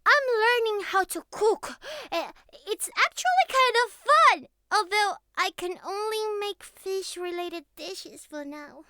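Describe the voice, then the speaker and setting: A young catgirl with a tiny, extremely high and bright voice. She is clingy, naive and pouty, stammering through an affectionate ASMR roleplay in swooping, childlike tones. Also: lively intonation, unhurried, hardly any pauses, no room sound, studio quality, full character voice. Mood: eager, proud.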